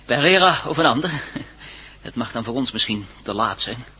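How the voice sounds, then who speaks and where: A man's voice: medium pitch, animated, moderate pace, bright, forceful tone, slightly rough. An actor playing an unruffled, cheeky cameraman in a radio drama, with a wry, casual delivery. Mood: slyly amused.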